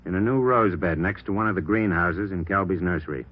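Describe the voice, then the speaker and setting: An American actor playing a shrewd police captain in a radio crime drama, brisk and authoritative as he briefs his detectives. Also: low voice. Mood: resolute. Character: shrewd, decisive male police captain giving orders. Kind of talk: 1950s radio drama acting. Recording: dull vintage radio recording.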